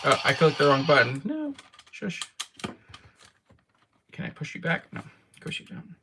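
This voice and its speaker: A man's voice: a little high, very animated, very noisy. Chatty man streaming from home. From Canada. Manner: chatty, rambling, quick to laugh at himself. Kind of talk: casual livestream chat answering viewer comments. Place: home game room.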